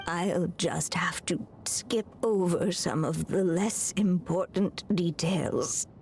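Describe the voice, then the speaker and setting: An actress voicing an elderly woman writer in a game cutscene, speaking softly and half-whispering, wistful and thoughtful. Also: lowish voice, drawn-out words, long pauses, slight background noise, exaggerated voice. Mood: reflective. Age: older. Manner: soft, breathy, half-whispered delivery.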